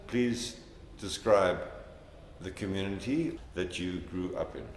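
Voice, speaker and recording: A man with a low voice acts as interviewer in an echoey room. He is formal and measured, introducing and asking in plain, orderly phrases. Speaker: interviewer, library staff. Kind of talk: formal oral-history interview. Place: indoors in a library room. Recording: echoey room with background noise.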